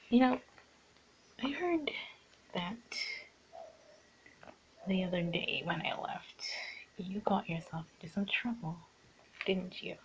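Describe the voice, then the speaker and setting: A woman's voice: lowish voice, lively intonation, takes it slowly, pauses often, slight background noise, rough recording. A woman playing a teasing, bossy young art student in an improvised ASMR roleplay, often slipping into a half-whisper. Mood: sly teasing.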